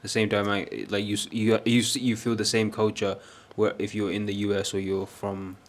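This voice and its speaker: A man hosting a casual podcast, talking haltingly with lots of fillers and some joking. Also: lowish voice, level tone. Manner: halting, many fillers and restarts, jokey. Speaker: podcast host.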